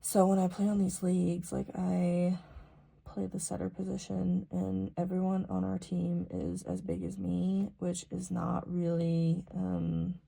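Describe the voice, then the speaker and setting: Low-pitched husky young American woman, gravelly fry. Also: monotone, measured pace, dark tone. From rural South Dakota, US. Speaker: planner vlogger. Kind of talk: casual rambling chat while planning.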